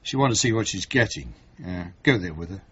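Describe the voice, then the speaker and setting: A grumbling police chief inspector in a radio play. His voice is husky and his orders come clipped and brusque, on a slightly dull old broadcast. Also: deep voice, expressive, brisk, fairly bright tone, full character voice. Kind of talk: radio drama acting.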